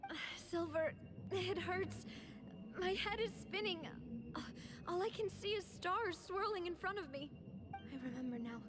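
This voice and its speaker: A woman voicing an earnest, shaken young noblewoman in a video game, with a halting, vulnerable delivery. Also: extremely high pitch. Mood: pained, grieving. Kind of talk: emotional video game voice acting.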